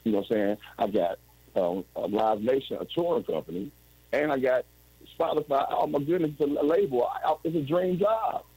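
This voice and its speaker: Man, forties, guest on a video call, husky, warm tone, rambling, restarts. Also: medium pitch, fairly quick, no room sound, rough recording. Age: 40s. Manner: rambling, with frequent restarts and "you know what I'm saying". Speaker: interview guest. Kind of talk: candid storytelling over a video call.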